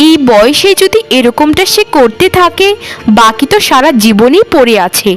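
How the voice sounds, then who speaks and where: A woman's voice: high voice, lively intonation, normal speed, faint music behind. A woman voicing a weary, aggrieved village sister-in-law in a melodramatic cartoon, complaining plaintively. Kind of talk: cartoon voice acting, melodramatic dialogue.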